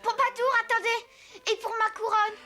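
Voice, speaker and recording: A woman's voice: very high voice, little pitch movement, normal speed, bright, forceful tone, soft background music. A woman voicing a young boy elephant king in a French cartoon, earnest, trusting and questioning. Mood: puzzled.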